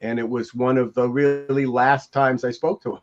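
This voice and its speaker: A man's voice: medium pitch, level tone, normal speed. Older man, dull lo-fi call audio. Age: older, 60s or 70s. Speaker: podcast co-host. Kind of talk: casual reminiscing chat. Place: room at home, indoors.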